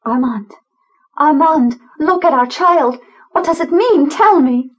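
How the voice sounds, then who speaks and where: A high-voiced, emotional young wife. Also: female voice, animated, takes it slowly, lo-fi sound. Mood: frantic, pleading. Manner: emotional, pleading, breathless earnestness. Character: gentle, devoted young wife and mother, anguished. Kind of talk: dramatized audiobook acting.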